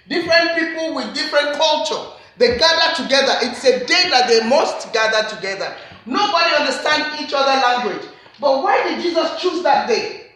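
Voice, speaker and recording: A woman with a Nigerian accent delivers a sermon, her voice bright and forceful. She presses her points through earnest repetition, and the church hall around her rings with heavy echo. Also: sits high. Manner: earnest, repetitive emphatic phrasing. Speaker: preacher.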